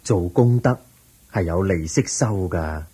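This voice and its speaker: A man acting a calm, patient, wise teacher in a radio drama, measured and gently instructive, with a Hong Kong Cantonese accent. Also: deep voice, slightly rough, exaggerated voice.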